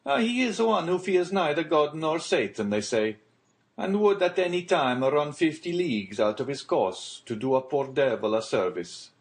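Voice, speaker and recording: A boastful boat's patron from the Mediterranean, acted out by a male audiobook reader. His voice is pitched up and lively. He talks emphatically, full of admiration, as if spinning a sailor's tale. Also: normal speed. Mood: confiding, admiring.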